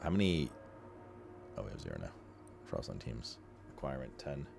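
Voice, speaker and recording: A man's voice: rumbling low, big pitch swings, normal speed, gravelly. A man doing casual, slangy and sweary video game commentary, sometimes reading game text aloud. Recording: game music behind the voice. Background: video game music.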